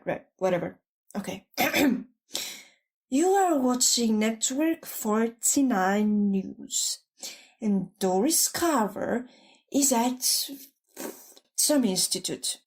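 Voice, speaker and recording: A woman's voice: a little high, darkish tone, heavily acted. A woman playing a jaded, blunt cleaning lady turned news anchor in an audio drama, offhand and muttering. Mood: offhand, dismissive.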